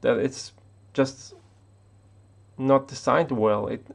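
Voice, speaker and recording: A man's voice: medium pitch, steady tone, takes it slowly, creaky. A man from Austria, not an English speaker, talking unscripted to the camera as a tech YouTuber, hesitant with many restarts.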